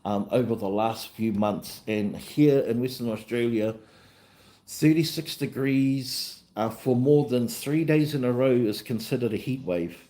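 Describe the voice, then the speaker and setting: A man's voice: mid-range voice, measured pace, warm tone. A man with a New Zealand accent hosting a casual livestream chat in an easygoing, rambling way, often repeating himself. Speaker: livestream host and vlogger.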